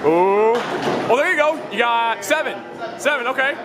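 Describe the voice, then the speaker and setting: Young Midwestern male vlogger, high voice, excitable play-by-play; handheld camera in a noisy arcade with loud music. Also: normal speed. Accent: Midwestern American. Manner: excitable, cheering on friends. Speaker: vlogger host. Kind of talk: casual arcade vlog commentary. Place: indoor arcade bowling alley.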